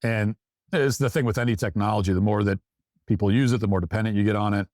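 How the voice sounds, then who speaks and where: Male talk host, lower register, emphatic opinion delivery, very dry recording. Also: steady tone, fast, darkish tone, no room sound. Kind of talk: opinion commentary, reading out listener comments.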